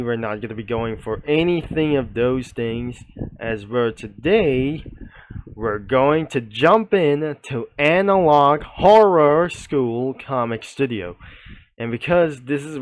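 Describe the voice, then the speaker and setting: A man's voice: medium pitch, dry recording. A man giving unscripted video commentary in a rambling, roundabout way. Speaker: video creator presenting a comic studio.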